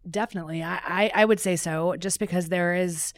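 A woman's voice: on the low side, fairly even intonation, fairly quick, no room sound, studio quality. A woman guest on an education podcast, explaining earnestly with frequent fillers. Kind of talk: casual podcast interview.

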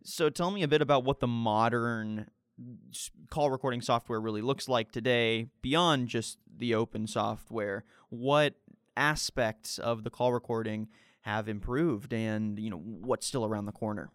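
A man asks interview questions as the host in a conversational style. His voice is crisp and of medium pitch, recorded with a dry, close sound. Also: measured pace, no echo.

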